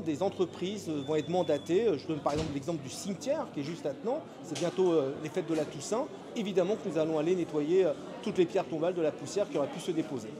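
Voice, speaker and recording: A man, a local mayor, giving a measured press statement with frequent hesitations and filler pauses. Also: on the high side.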